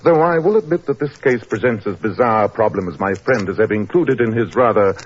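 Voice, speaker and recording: An actor playing an incisive, dryly superior gentleman detective in a 1940s radio drama, a man with an upper-class English accent and clipped, precise speech. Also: lowish voice, a little fast, natural voice. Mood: cool, inquiring. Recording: muffled, noisy 1940s radio recording.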